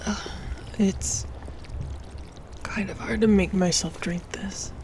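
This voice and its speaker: Casting: actress as anxious young creature girl for audio drama; creaky, breathy, halting delivery over noisy water ambience. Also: medium pitch, steady tone. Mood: uneasy, strained. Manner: hesitant, halting, often dropping to a hushed whisper. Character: young woman turned leech girl, anxious and reluctant. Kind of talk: audio roleplay acting.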